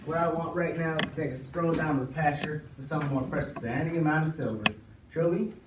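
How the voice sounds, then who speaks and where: An easygoing young cowboy played by a man in a Western stage play, folksy and plucky. His voice is heard from a distance in an echoey room with clear background noise. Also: fairly high pitch, fast talker, close to an everyday voice. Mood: confident. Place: small indoor stage, recorded from audience.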